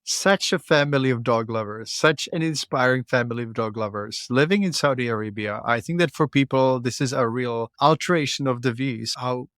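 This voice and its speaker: A man's voice: medium pitch, normal speed, few pauses, a bit bright, no room sound, studio quality. A man from the Czech Republic with a Czech accent, hosting a casual conversational interview podcast. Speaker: podcast host.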